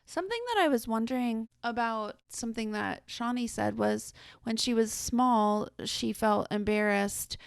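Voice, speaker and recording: A woman's voice: fairly high pitch, unhurried, gravelly, hardly any pauses, clean tone, dry recording, clean studio sound. A woman co-host speaking earnestly and reflectively in a casual conversation.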